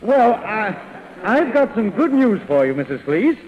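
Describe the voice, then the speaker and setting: A man's voice: sits high, very animated, unhurried, a little mellow, big-room sound, lo-fi sound. A man playing an eager, polite, flustered landlord in a 1930s radio comedy, on an old recording. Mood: polite, hesitant.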